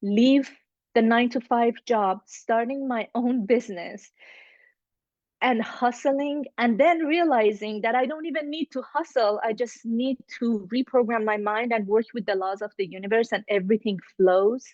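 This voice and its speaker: A woman's voice: fairly high pitch, moderate pace, darkish tone, no echo. Middle Eastern-accented woman, second-language English, motivational coach on a video call; dry, dull audio. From Middle East. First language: not English. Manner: earnest, motivational, repeats for emphasis. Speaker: mindset coach. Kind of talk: online networking circle pitch.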